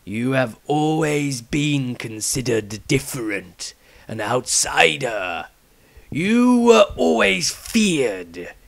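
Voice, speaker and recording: A man voicing a stern old grey-haired wizard patriarch in a fantasy audiobook, speaking in a slow, deliberate way. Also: medium pitch, sing-song, gravelly, full character voice. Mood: stern, measured. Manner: slow, measured, drawn-out delivery.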